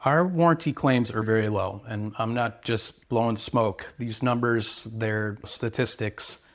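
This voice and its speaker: A man's voice: fairly low pitch, unhurried, a bit bright. A man, an earnest, sales-minded company representative explaining products and service in interview answers.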